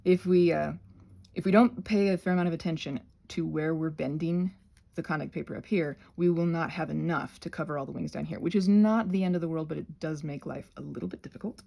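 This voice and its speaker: A woman's voice: low voice, fairly quick, vocal fry, mellow. A woman casually hosting a craft demonstration, talking in a hesitant, meandering way with self-deprecating asides. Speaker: craft channel host.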